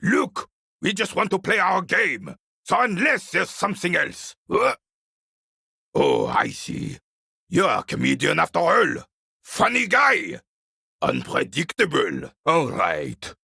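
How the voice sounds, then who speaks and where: Gravelly, bitter old war veteran. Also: male voice, on the high side, wildly swooping pitch, slow, pushed and bright, no room sound, clean studio sound, full character voice. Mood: irritated. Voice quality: gravelly, raspy. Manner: gruff, scornful, theatrical, barking at younger men. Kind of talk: video game character dialogue.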